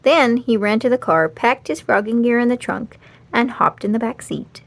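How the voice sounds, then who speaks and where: A woman narrating a children's storybook aloud in an expressive read-aloud style. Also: a little high, moderate pace, vocal fry.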